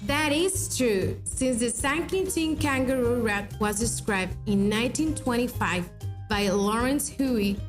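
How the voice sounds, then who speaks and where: A woman's voice: on the high side, expressive. Woman explaining. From Mexico. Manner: informative, fast when reciting titles. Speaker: conservation officer. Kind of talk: interview explanation. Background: background music.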